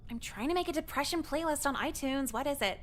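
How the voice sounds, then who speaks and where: A woman's voice: high-pitched, fast, close to an everyday voice. A woman voicing a sarcastic, gloomy teenage girl who is new at school, in voiced game dialogue. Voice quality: dry, sarcastic delivery. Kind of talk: voiced visual novel dialogue.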